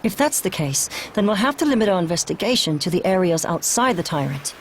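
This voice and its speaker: A woman's voice: fairly low pitch, big pitch swings, fairly quick, a little hiss or hum. A woman playing a composed, dry-witted ship's adviser in a video game, with precise phrasing. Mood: measured.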